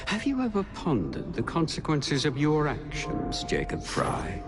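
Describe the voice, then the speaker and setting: An actor voicing an older, lofty and fanatical Victorian doctor in a video game, with a theatrical, condescending, lecturing manner. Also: male voice, fairly high pitch, wildly swooping pitch, measured pace, heavily acted. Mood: scornful. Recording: game audio under loud music.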